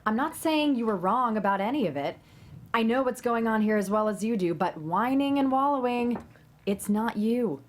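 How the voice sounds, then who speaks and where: A woman's voice: on the high side, lively intonation, moderate pace, slight background noise, lightly acted. A woman playing a blunt, needling best friend in her forties at a screenplay table read, matter-of-fact in delivery.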